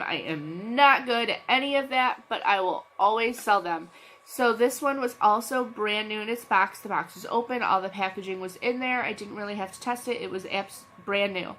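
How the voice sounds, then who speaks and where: A woman's voice: medium pitch, normal speed, a bit bright, poor audio. A woman with a cold chatting casually to her channel's viewers, scattered and full of ums and restarts. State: has a cold. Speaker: channel host, reseller. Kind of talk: casual vlog chat.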